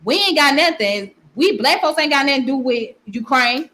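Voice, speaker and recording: A woman's voice: high voice, expressive, normal speed, bright, forceful tone. A woman with a strong Southern accent, hosting a casual livestream where she reads comments and often repeats her phrases. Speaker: channel host.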